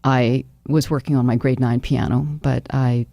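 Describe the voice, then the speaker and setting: A woman's voice: very deep voice, fairly even intonation, moderate pace, creaky, warm tone, dry recording, clean studio sound. A Canadian woman speaking effusively as a musician guest in a live radio interview. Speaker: interview guest, singer-songwriter. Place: radio studio.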